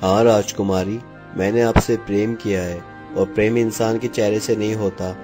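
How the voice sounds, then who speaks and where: A man's voice: on the low side, steady tone, moderate pace, darkish tone, faint music behind, lightly acted. A man voicing an earnest, resolute prince in love, speaking formally in a cartoon fairy tale. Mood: tender.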